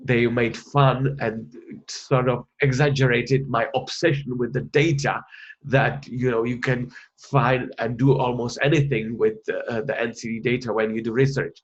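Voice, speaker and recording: A man's voice: medium pitch, level tone, a little slow, lo-fi sound. A man from the Republic, presenting research in an online webinar, hesitant with many fillers and restarts. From Czech Republic.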